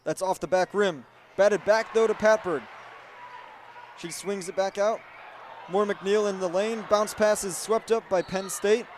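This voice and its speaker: A man's voice: high-pitched, fairly even intonation, measured pace, a little hiss or hum. A young man in his twenties giving radio play-by-play commentary of a basketball game. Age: 20s. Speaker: student sports broadcaster and reporter. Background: basketball game ambience.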